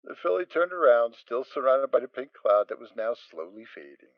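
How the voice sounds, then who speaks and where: A man narrating a fan audio drama, reading with expressive storytelling. The audio is dry and slightly dull. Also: mid-range voice, fairly quick, no echo.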